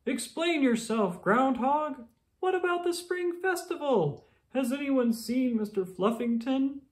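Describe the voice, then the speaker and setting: A storybook reader voicing excitable, demanding small-town townsfolk, exclaiming insistently. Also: sits high, unhurried, voice pushed up. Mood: indignant.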